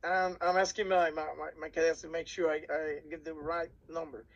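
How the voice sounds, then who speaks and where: A man from Puerto Rico whose first language is Spanish, speaking English with a Puerto Rican Spanish accent. He gives a workshop briefing to cadets, halting and restarting often, repeating words as he goes. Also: fairly high pitch, level tone. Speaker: cadet program instructor.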